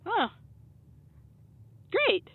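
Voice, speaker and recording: A woman playing a wry, anxious colleague on a muffled phone line in a comedic audio drama, questioning hesitantly. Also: drawn-out words. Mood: surprised.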